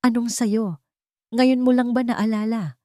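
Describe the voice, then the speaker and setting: A woman's voice: fairly high pitch, fairly even intonation, measured pace, warm tone, no room sound, voice pushed up, lightly acted. A narrator plays a wounded, bitter woman in a romantic audio drama. She is hard and accusing, recorded close and dry. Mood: bitter, cold. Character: bitter, wounded pregnant woman confronting her ex-lover.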